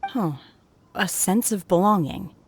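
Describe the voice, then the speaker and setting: A woman's voice: mid-range voice, a little slow, darkish tone, slight background noise, lightly acted. A woman voicing a reserved, earnest outsider in a fantasy game, speaking tersely. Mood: hesitant, earnest. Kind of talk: game character acting.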